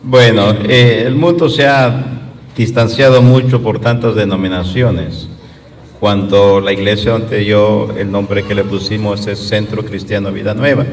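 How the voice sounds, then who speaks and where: Male pastor talking religion, kinda low voice, some noise in the hall. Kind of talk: explanatory religious talk. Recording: noisy echoing hall.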